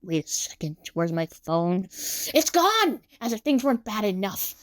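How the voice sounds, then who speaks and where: Brief: storybook would-be star, young man acted by a woman, theatrical, emphatic, swooping pitch, easily flustered. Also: big pitch swings, normal speed. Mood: panicked. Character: dramatic, vain young male aspiring star, easily flustered.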